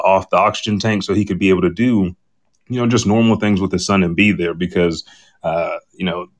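A middle-aged man, a podcast guest, casually telling personal stories. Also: sits low.

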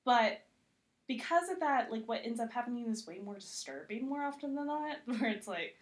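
Chatty, higher-voiced woman guest. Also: animated, normal speed. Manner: chatty, self-deprecating humour, frequent "like". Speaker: podcast guest, TV critic. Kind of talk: casual interview chat.

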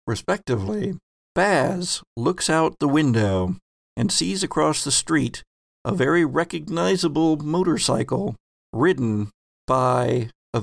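A man narrating as game master of a tabletop roleplaying game, slow and halting, with many fillers. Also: medium pitch, creaky, no room sound, studio quality.

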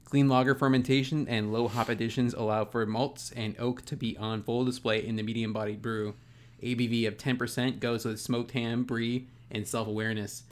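A man co-hosting a casual podcast, talking in a loose, halting way with many restarts. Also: medium pitch, level tone. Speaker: podcast co-host. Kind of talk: casual chat.

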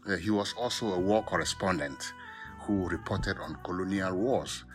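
A man from Ghana and Togo with a West African accent, speaking as a professor in a formal, measured academic talk with self-corrections. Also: low voice, a bit bright, soft background music. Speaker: professor of African literature, podcast guest.

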